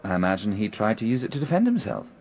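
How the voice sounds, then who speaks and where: A man playing a defensive, rattled British gentleman in the dock in a radio courtroom drama, with clipped answers. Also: on the low side, wildly swooping pitch, quick pace, heavily acted. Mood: defensive, agitated. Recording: reverberant courtroom-like hall.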